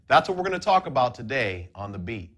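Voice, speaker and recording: A man teaching on a video, animated and expressive, in an indoor studio with a plain backdrop. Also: mid-range voice, fairly quick. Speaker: YouTube Bible teacher.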